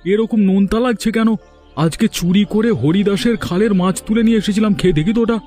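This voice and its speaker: A man's voice: sits high, dark tone, lightly acted. A man voicing a puzzled, earnest fish farmer. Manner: exclamatory, earnest. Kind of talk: dubbed cartoon dialogue. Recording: music in the background.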